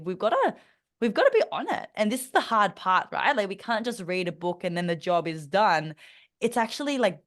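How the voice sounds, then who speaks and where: A young woman with an Australian accent, speaking earnestly as a podcast guest, thoughtful, with many fillers and restarts. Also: lowish voice. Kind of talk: conversational interview.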